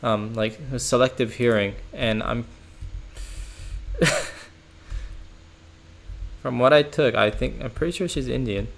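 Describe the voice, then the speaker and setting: A man's voice: mid-range voice, unhurried, creaky, long pauses. A young man streaming from home, chatting casually and rambling, full of "like" and "bro" and frequent laughs. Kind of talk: casual livestream chat reacting to chat.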